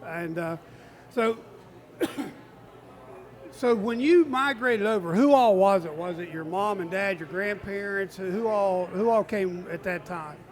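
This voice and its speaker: A man's voice: sits high, a little slow. A man hosting a casual interview, friendly and affirming, asking questions and telling his own memories. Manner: friendly and affirming, calls the guest "brother". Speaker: host.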